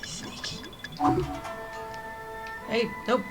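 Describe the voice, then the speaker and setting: A woman streamer giving casual gameplay commentary, muttering to herself and repeating her phrases. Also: drawn-out words. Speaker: game streamer. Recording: game music behind the voice.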